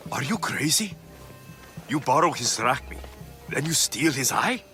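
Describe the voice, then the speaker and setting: An actor playing a gruff, honest and weary mercenary with a dry, sardonic delivery in a video game. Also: male voice, medium pitch, very animated, measured pace, sharp tone, soft background music. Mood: exasperated. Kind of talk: video game cutscene acting.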